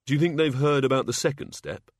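A man's voice: medium pitch, fast talker, no room sound, clean studio sound, voice pushed up, natural voice. A man voicing a mild, puzzled, newly sober minor god in a storybook. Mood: bemused.

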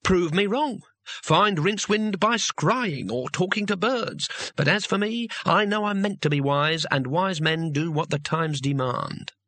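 Man voicing a calm, commanding old wizard. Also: on the high side, pitched above the performer's own voice, full character voice. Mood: firm. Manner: measured, quietly authoritative.

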